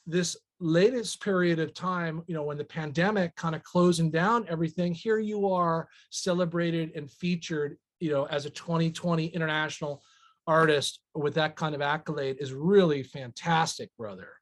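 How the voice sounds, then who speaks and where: A man from Los Angeles hosting an interview, chatting casually and praising his guest effusively. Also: fairly high pitch. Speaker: interviewer host.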